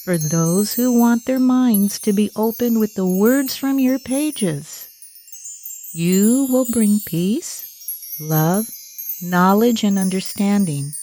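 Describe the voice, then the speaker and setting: Puppet musical role: calm, reassuring woman champion of banned books, played by an actress, unhurried and soft-edged. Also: mid-range voice, soft background music. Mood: hopeful, inviting. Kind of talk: stage puppet musical acting.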